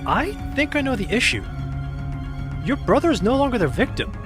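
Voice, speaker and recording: Lively, wry spirit-lore guide. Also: female voice, a little high, normal speed, over music. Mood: confident, urgent. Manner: casual, wry, explanatory. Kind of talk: audio drama character acting.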